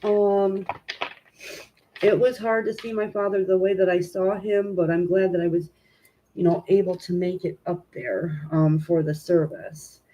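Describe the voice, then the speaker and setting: A woman with a stuffy, congested nose hosting a tarot reading live stream at home, chatting informally with viewers and filling pauses with "um". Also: fairly low pitch, dark tone, rough recording. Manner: informal and chatty, many "um" fillers, greets viewers. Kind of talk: casual live-stream chat and card reading.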